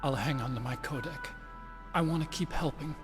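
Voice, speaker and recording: A woman's voice: low voice, normal speed, lightly acted. A woman voicing an earnest, vulnerable young soldier in a video game, with an emotional, pleading manner. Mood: subdued, earnest. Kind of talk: dramatic video game voice acting. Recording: music behind the voice.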